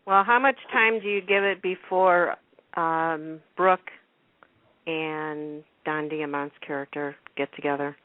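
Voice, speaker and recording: A woman's voice: low-pitched, fairly even intonation, takes it slowly. A woman hosting a radio talk show in a casual, chatty style. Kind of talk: casual chat about TV soaps. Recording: narrow telephone-line radio sound.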